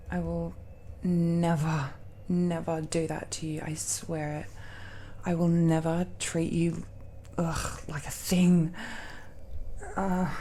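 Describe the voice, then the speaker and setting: A woman's voice: on the low side, warm tone, a little hiss or hum. A woman playing a tender, possessive dragon-descended girlfriend in an intimate romantic audio roleplay, speaking hesitantly and breathily, often near a whisper.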